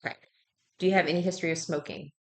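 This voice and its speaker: A woman's voice: on the low side, steady tone, racing. A woman conducting a clinical intake interview as a health professional, conversationally checking and repeating answers. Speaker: health professional taking a patient history.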